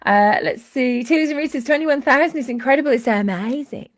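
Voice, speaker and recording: Chatty croaky woman, higher voice. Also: normal speed. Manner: chatty and effusive, greets viewers by name. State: a little croaky from a cold. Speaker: author hosting a live book show. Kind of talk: casual live-stream chat.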